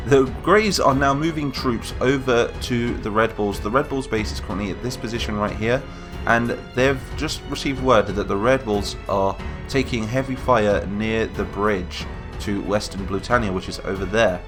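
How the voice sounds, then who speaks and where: A man's voice: on the low side, moderate pace, music in the background. A man with a cold and a nasal voice, giving chatty, rambling commentary over a battle-simulation game and correcting himself as he goes. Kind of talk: casual gameplay commentary.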